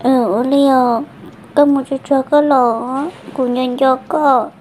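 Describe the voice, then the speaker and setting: A woman's voice: high voice, fairly even intonation, slow pace, a little mellow, slight background noise. A woman voicing a female character in a family folk-tale cartoon. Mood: conversational.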